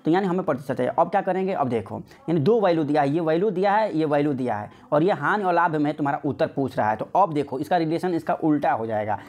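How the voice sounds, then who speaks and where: A man's voice: a little high, animated, fast talker, few pauses, a little mellow. Man teaching maths, repeating himself for emphasis. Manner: repetitive, asks questions and answers them himself. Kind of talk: informal exam-prep lesson, explaining step by step.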